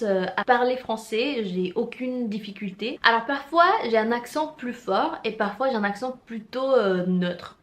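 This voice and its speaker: Chatty young woman with Mexican accent. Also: on the high side. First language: Spanish. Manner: chatty, thinks aloud with frequent hesitant restarts. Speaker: vlogger. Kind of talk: casual vlog chat to camera.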